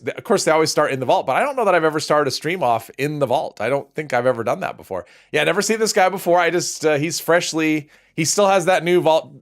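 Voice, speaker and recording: A man hosting a casual game livestream, greeting viewers by name and chatting as he plays, a little sniffly. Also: a little high. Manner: chatty, reads out viewer names, laughs easily. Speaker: game streamer.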